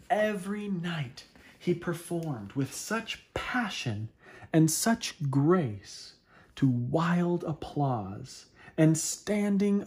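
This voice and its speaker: Slow, animated man reading a storybook. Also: on the high side. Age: 30s. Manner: dramatic, deliberate pauses and interjected wonder. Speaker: storyteller reading a picture book. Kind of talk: expressive children's read-aloud. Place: small living room at home, indoors.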